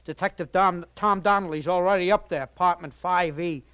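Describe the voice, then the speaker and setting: An actor playing a brisk, urgent police sergeant, clipped and terse, in 1940s radio style. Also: male voice, high voice, a bit bright, clean tone.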